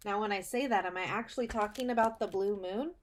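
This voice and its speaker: A woman with a mid-pitched voice leads a read-aloud lesson for children. She speaks as a speech and language teacher, explaining things plainly and stressing key phrases. She often pauses to put a question straight to the young listener.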